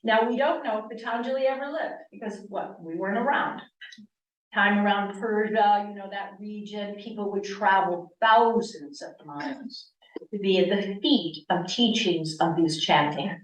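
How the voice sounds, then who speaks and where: A woman in her sixties from the American East Coast gives an animated talk on yoga. She leans on rhetorical questions and speaks at a middling pitch. Her voice is caught from a distance in an echoey church hall. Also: moderate pace. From Connecticut, US East Coast. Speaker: yoga teacher.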